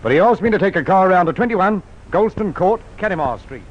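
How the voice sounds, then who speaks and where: Anxious garage owner, urgent, higher voice, swooping intonation, vintage radio drama, dull sound. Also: male voice, lively intonation, fairly quick. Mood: urgent, wary. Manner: urgent, earnest. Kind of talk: 1950s radio drama acting. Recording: muffled, noisy old radio recording.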